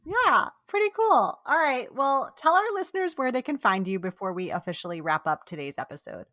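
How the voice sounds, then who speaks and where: A woman's voice: high-pitched, animated, brisk, sharp tone, no echo, studio quality. A woman hosting an interview podcast, encouraging and affirming toward her guest and her listeners. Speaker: podcast host.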